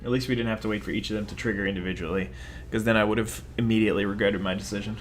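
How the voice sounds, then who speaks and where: A man's voice: fairly low pitch, steady tone, very fast, faint music behind. A young man giving rambling, self-mocking commentary over his own card game, talking casually to viewers. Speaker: gaming video host.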